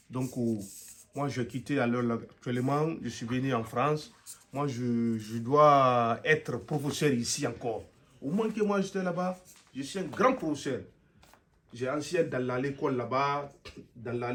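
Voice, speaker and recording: An actor playing a boastful man newly arrived from abroad who wants to be a teacher, speaking halting broken French with many stammers. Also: mid-range voice, lightly acted. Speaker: sketch comedy actor. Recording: roomy sound, from some distance.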